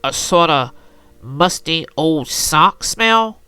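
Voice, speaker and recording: A woman voicing a droll, mild father turtle in a children's storybook, with a deliberate, wry delivery. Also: low-pitched, animated, bright, forceful tone, smooth, dry recording, soft background music, pitched below the performer's own voice, full character voice. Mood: suspicious.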